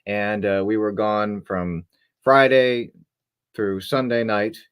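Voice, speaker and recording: A man's voice: fairly low pitch, fairly even intonation, slow, clear, resonant tone. A young man co-hosting a casual live stream, talking in a laid-back, rambling way with many fillers. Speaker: co-host.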